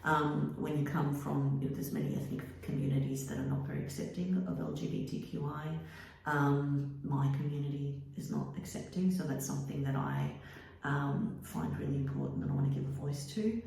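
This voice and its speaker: An Australian woman, an author and teacher, answering interview questions thoughtfully, with many pauses and ums. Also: low voice, little pitch movement, vocal fry, warm tone. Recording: echoey room.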